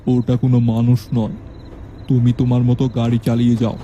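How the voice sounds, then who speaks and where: A man voicing a tall, stout male graveyard caretaker who speaks slowly and gravely, giving calm commands. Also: medium pitch, highs cut off, slight background noise, natural voice.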